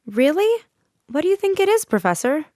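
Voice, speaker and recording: A woman's voice: sits high, sing-song, moderate pace, a little mellow, dry recording. A woman playing an eager, attentive studio news anchor questioning her guests in a radio drama. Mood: attentive, curious.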